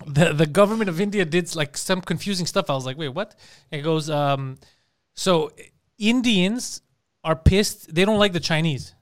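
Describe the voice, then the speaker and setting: A man co-hosting a podcast, chatting loosely and swearing as he tells a story. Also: fairly high pitch. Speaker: podcast co-host. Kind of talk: casual profane chat.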